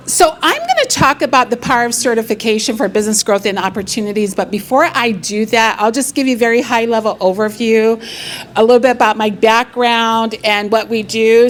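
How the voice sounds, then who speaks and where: A middle-aged woman from Hawaii speaks from the stage at a live business event, her voice sitting a little high. She is upbeat and keeps the crowd involved with questions. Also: expressive, moderate pace, a little hiss or hum. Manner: upbeat, engaging the audience with questions. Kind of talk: live presentation to an audience.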